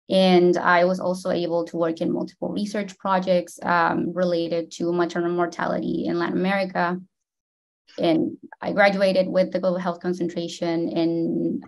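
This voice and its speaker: A woman's voice: lowish voice, vocal fry. A woman in her thirties with a Chilean accent, a native Spanish speaker, recounting her career as a panelist on a video call. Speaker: panelist, midwife. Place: indoor room, video call.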